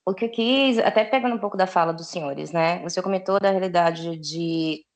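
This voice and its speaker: A woman's voice: lowish voice, fairly quick. Rambling woman. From Salvador, Bahia, Brazil. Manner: rambling, thinking aloud with many restarts. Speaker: audience member asking a question. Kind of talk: online discussion question.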